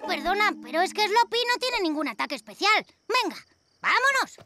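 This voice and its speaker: A woman's voice: very high-pitched, very animated, a little fast, background noise, heavily acted. A woman voicing a playful, eager little boy superhero in a cartoon. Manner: eager, childlike. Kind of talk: animated cartoon dubbing. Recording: music behind the voice.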